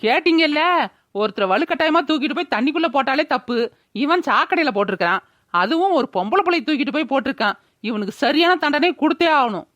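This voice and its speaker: A woman voicing a sharp-tongued village mother in a cartoon, brash and scolding, with a rural Tamil Nadu accent. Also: high voice, expressive, quick pace, no echo, muffled recording. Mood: aggrieved, firm. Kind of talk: cartoon village drama voice-over.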